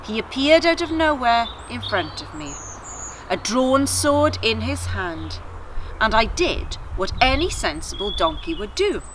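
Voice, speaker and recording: A woman's voice: fairly high pitch, sing-song, a little slow. A woman voicing a talking female donkey in a children's story, worldly, wry and self-assured. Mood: wry, teasing. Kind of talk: children's storybook character reading. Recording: faint outdoor garden noise.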